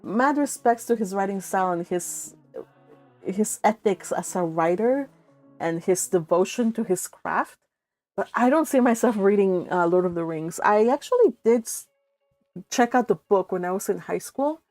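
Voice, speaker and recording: A woman's voice: mid-range voice, lively intonation, moderate pace, darkish tone, dry recording, faint music behind. A woman hosting a read-along livestream, chatting casually and reading out viewer comments in a gushing, giggly, rambling way. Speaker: livestream host of a book read-along channel.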